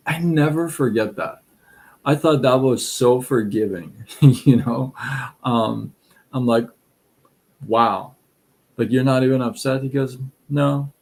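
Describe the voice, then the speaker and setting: A middle-aged man with a Persian accent talks slowly through casual live-stream advice, his voice gravelly at the edges. He rambles easily, stopping and restarting often. His pitch sits at an ordinary middle level for a man. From Iran. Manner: relaxed, rambling, frequent fillers and restarts.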